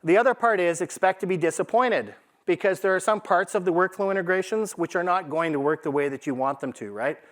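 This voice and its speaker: Higher-pitched man giving a talk. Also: brisk. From Canada. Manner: conversational, emphatic, checks in with rhetorical questions. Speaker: conference speaker, AI consultant. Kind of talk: informal business presentation to a live audience.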